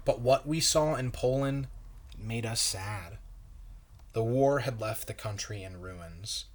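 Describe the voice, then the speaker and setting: A man, a pastor, reading a children's story aloud in a measured, expressive way. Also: on the low side, a little hiss or hum.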